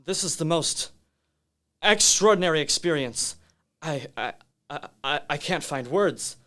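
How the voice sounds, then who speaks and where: A man playing an excitable, awestruck radio reporter in a radio play, speaking in a dramatic, halting announcer style. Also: on the high side. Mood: horrified, halting.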